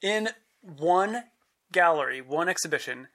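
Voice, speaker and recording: A man's voice: fairly high pitch, expressive, a little slow, pushed and bright. A man casually recapping a family trip in chat with his family, eagerly explaining what they saw. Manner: enthusiastic, explains things to the listener.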